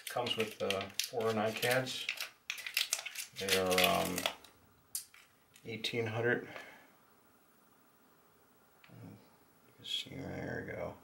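A man with a fairly low, creaky voice gives a casual step-by-step demonstration in short, halting phrases. He takes his time between words. The mic sits at a distance. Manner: halting, muttered step-by-step remarks while working with hands. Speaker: hobbyist demonstrator. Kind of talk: casual hands-on tutorial. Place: indoors at a tabletop workbench. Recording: distant mic, clear noise, clicks.

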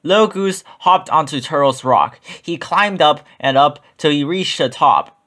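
A man narrating a children's picture book in an expressive read-aloud style. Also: on the high side, measured pace.

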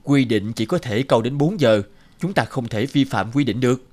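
A man's voice: medium pitch, lively intonation, normal speed, a little mellow, natural voice. A man voicing a patient, principled father in a children's cartoon, instructive and encouraging. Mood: firm.

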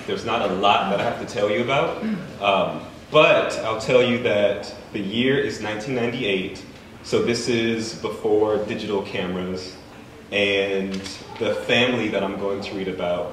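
A man reading his own fiction aloud at an open mic in a bookstore, measured and deliberate. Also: on the low side, fairly bright tone. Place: bookstore event space, indoors. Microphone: stand mic, recorded by a far camera. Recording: echoey room from far off, faint noise.

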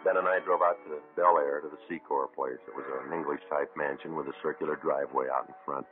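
A man's voice: extremely low pitch, steady tone, quick pace. An actor playing a sober police sergeant with an American accent, narrating his case in terse, clipped, matter-of-fact 1950s radio drama style. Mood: dry, measured. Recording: muffled old radio broadcast, music behind.

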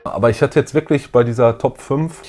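A man's voice: medium pitch, a little fast, darkish tone, soft background music. Middle-aged man chatting as co-host. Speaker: YouTube co-host. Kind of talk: casual fan chat. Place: small room full of collectibles, indoors.

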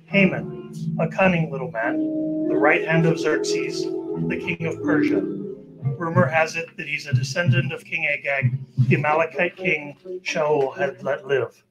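Man narrating radio drama, slightly high voice. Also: measured pace, muffled recording, over loud music. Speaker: narrator of an amateur radio play. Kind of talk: old-time radio show narration. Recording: noisy amateur live recording.

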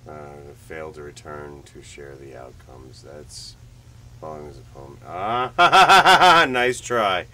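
A man's voice: on the low side, lively intonation, slow pace, sharp tone. A middle-aged man hosting a casual reaction stream, reading on-screen text aloud and chatting in a muttering, wry way. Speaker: host of a reaction show. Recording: noisy home livestream audio.

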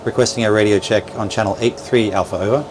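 A man's voice: on the low side, fast talker. A man in his thirties giving an informal, instructional walkthrough, explaining things with hesitant, repeated words. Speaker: boat dealer presenter. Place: small boat cabin at a marina. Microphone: clip-on lapel mic. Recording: noisy boat cabin with steady background hum.

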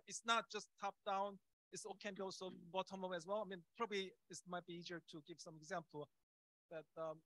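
High-pitched man, halting and searching for words. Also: bright, forceful tone, no room sound, low-quality recording. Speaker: audience member asking a question. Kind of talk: unscripted question at a conference. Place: indoor conference room audience. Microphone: handheld microphone.

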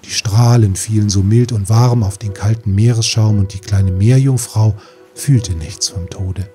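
A man narrating a fairy tale audiobook in a measured, literary storytelling manner. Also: lowish voice. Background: soft music.

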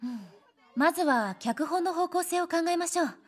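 Composed, high-voiced organizer schoolgirl. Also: lively intonation, moderate pace. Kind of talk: anime game voice acting.

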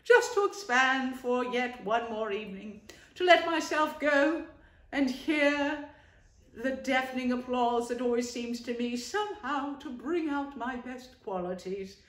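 A woman's voice: sits high, lively intonation, measured pace, voice pushed up. A woman doing a vain, self-pitying toad. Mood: sadly grand. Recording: roomy echo.

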